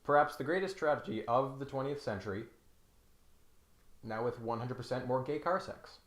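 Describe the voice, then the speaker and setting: A man's voice: medium pitch, normal speed. Man doing mock-serious commentary, roomy echo. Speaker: video essay host. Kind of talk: scripted comedic commentary with dramatic read-aloud passages. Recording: roomy echo, music behind.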